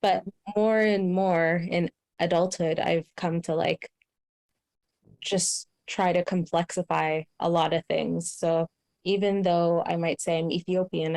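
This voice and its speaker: A young woman from Canada talks things through slowly, with lots of "like" and restarts. Her voice sits fairly low, and the sound is close and dry with no room around it. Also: darkish tone, no echo. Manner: thoughtful, many fillers and restarts. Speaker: host. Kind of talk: casual conversation.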